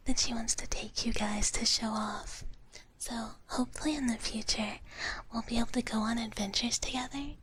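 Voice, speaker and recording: A woman livestreamer whispering breathily and gently into a close microphone as she chats playfully with viewers in ASMR style, in a big-sister dragon persona. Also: fairly high pitch. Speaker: livestream host. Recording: close-up whisper with clear background noise.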